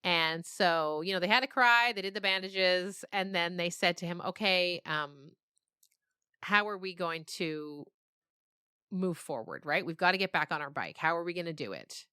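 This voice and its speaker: Woman, low-pitched bright voice, dry close sound, reflective interview guest, expressive storyteller. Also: normal speed, clean tone, no room sound.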